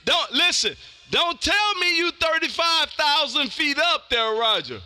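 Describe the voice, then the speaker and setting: An American man hosting a ham radio stream, talking casually in a loud, animated, slangy way. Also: very high voice, measured pace, dry recording. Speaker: amateur radio operator hosting a live stream. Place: home radio room.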